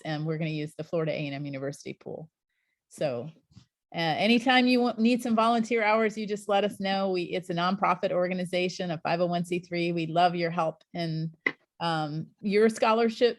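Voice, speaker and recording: A woman's voice: on the low side, no echo, clean studio sound. A middle-aged woman and scuba instructor trainer, giving an encouraging, chatty introduction to an online class over a video call.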